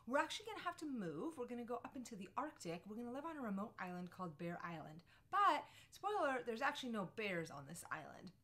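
A woman's voice: fairly high pitch, sing-song, fast talker, few pauses, bright, forceful tone. A woman in her thirties presenting a book recommendation to camera with an emphatic, storytelling delivery. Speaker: book review channel host for parents and teachers. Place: small furnished room with bookshelves, indoors.

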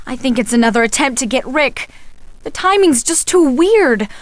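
Casting: friendly young American soap starlet for an adventure game, highish voice, earnest and expressive, dry close sound. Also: female voice, normal speed, no echo. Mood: worried. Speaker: video game character voice actor. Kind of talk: scripted game dialogue.

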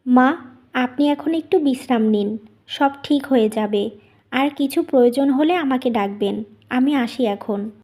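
A woman acts out a gentle-hearted young daughter-in-law for a cartoon tale. The voice is very high, and she comes across as kind and dutiful. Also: unhurried. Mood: caring. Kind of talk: Bengali cartoon story dubbing.

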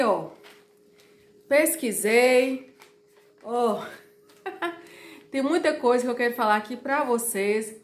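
Slightly hoarse woman in an echoey room. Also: on the high side. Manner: chatty, direct to viewers, repeats warnings. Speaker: YouTuber. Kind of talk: informal explainer vlog. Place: small room at home, indoors.

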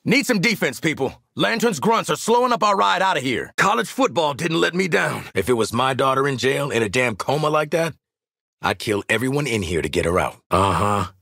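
A cocky, exasperated gun-for-hire squad leader from a video game, with an American accent. His voice is gravelly and husky with a hard, forceful edge. He talks brash and streetwise, swinging widely in pitch between sardonic grit and sharp bite. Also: big pitch swings, a little fast, no echo, studio quality, exaggerated voice. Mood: sardonic, grumbling. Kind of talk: video game character voice lines. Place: studio voice booth, dry.

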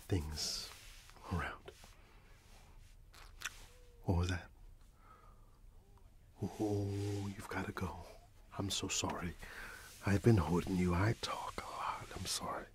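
A middle-aged man softly whispering in an ASMR roleplay as a friendly, chatty shopkeeper, close to the microphone. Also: low voice. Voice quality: soft, mostly whispered. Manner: friendly, chatty, apologetic shopkeeper patter with repeated words. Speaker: ASMR roleplay performer playing a friendly candy shop owner.

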